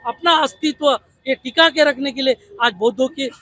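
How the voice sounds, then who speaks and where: Forceful high-pitched Maharashtrian man. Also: moderate pace, slight background noise. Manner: forceful, insistent, repetitive with rhetorical questions. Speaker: protest leader and party activist. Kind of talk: impassioned protest interview.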